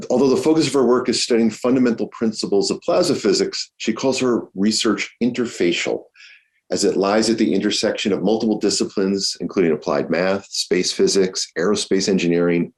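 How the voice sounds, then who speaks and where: Deep-voiced man hosting a lecture. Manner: warm, grateful, with many fillers. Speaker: lecture series host. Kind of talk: introducing a guest speaker. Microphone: video call.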